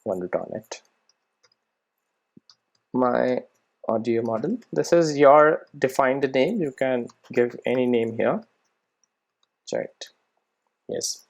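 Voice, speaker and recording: A man with a medium-pitched voice teaches in a screencast tutorial. His intonation is lively and moves up and down a lot. He explains step by step without a script, often tagging his phrases with short checking questions. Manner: checks understanding with frequent tag questions. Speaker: programming tutorial instructor.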